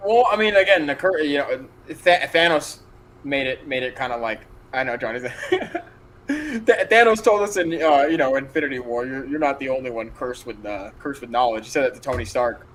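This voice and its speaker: A man's voice: medium pitch, lively intonation, moderate pace, fairly bright tone. A man co-hosting a casual chat, hesitant and laughing, hedging with lots of fillers. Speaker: co-host.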